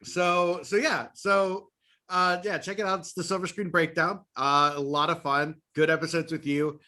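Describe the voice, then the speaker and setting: Bright-voiced man, around thirty, chatty guest. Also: on the high side, little pitch movement, normal speed. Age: 30s. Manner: upbeat and rambling, many "uh" and "like". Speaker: guest, film podcaster. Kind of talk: casual chat.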